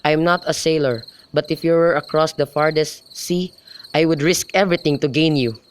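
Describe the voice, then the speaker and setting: A man's voice: fairly high pitch, fairly even intonation, normal speed, natural voice. A man playing an ardent, reckless young nobleman in love, in an amateur student radio drama of modernized Shakespeare. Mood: ardent, devoted. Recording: noisy home recording, crickets behind.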